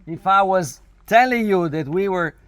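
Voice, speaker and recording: A man who is not a native English speaker gives an informal explanatory talk to a group in a halting, emphatic way, with non-native phrasing. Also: high voice, expressive. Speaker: spiritual workshop leader. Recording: noisy outdoor recording, natural ambience.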